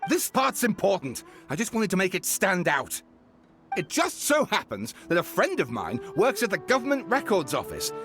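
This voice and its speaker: High-voiced, theatrical storyteller. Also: male voice, huge pitch swings, moderate pace, exaggerated voice. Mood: eager. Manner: theatrical, showy, emphatic. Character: excitable, theatrical male writer of mystery tales. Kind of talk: video game voice acting. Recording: music behind the voice.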